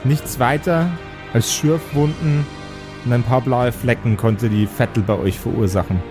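A man's voice: medium pitch, a little slow, music in the background. A man acting as game master, narrating an improvised tabletop role-playing game with dramatic storytelling between casual rules talk.

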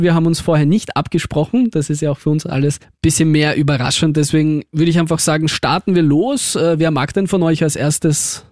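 A young man from Ukraine, co-hosting a casual podcast chat in a relaxed, rambling way and steering the talk. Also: fairly high pitch, no echo, studio quality. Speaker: co-host.

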